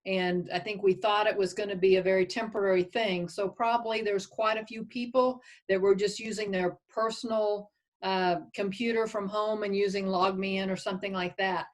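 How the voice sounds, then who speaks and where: A woman's voice: medium pitch, fairly even intonation, normal speed. A woman speaking conversationally on a conference call as a county official, thinking aloud as she gives advice. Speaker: county official advising on expense reimbursement.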